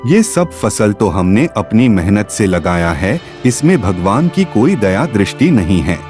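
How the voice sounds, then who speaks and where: An arrogant, selfish village farmer from a cartoon moral tale, voiced by a man. His lowish voice swings widely with scorn and boastfulness. Music runs clearly behind him. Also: very animated, measured pace, darkish tone. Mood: smug.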